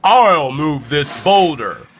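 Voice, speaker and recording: A man's voice: a little high, sing-song, slow, a bit bright, a little hiss or hum, voice pushed up, exaggerated voice. A man voicing a big, strong, mean llama bully in a children's storybook, with a boastful, taunting manner.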